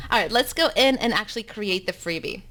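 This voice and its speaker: A woman's voice: a little high, big pitch swings, moderate pace, a bit bright. A woman in her thirties from South Africa, Afrikaans her first language, with a South African accent, teaching bloggers in a chatty, upbeat live webinar from home, with frequent ums.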